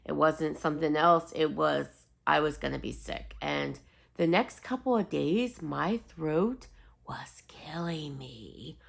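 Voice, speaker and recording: A woman vlogger chatting casually and rambling apologetically, slightly raspy and sniffly as she gets over a cold. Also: low-pitched, slow pace. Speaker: host of a home fragrance video channel. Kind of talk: casual rambling vlog chat.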